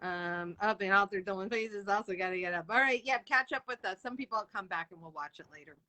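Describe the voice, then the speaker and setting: A woman's voice: medium pitch, fast, pushed and bright. A chatty, informal woman hosting a casual live interview. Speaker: interviewer and live-show host. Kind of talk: casual chat.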